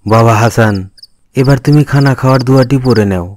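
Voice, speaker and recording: A man's voice: medium pitch, fast, darkish tone, slight background noise, lightly acted. A man playing a pious, patient father who instructs his young son in an Islamic moral cartoon. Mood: guiding. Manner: instructive, admonishing.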